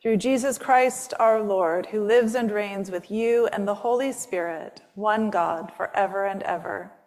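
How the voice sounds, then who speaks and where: A woman's voice: medium pitch, unhurried, a little mellow. A woman priest leading a church service and giving announcements in a chatty way, with frequent fillers, on a microphone in a church. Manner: chatty, self-interrupting with fillers. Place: church sanctuary. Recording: long church reverb.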